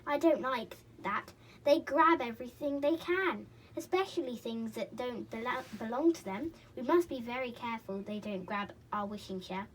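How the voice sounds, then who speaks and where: A woman's voice: on the high side, normal speed, far from the mic, a little hiss or hum, lightly acted. A woman reading aloud and voicing a small, nervous, clever male pixie in a children's storybook.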